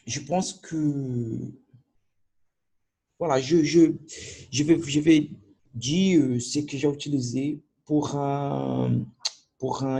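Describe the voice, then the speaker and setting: A man whose first language is Portuguese, speaking French as a learner with a Portuguese accent in a video diary, halting and searching for words with many restarts. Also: mid-range voice, gravelly, warm tone, husky. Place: at home by his computer.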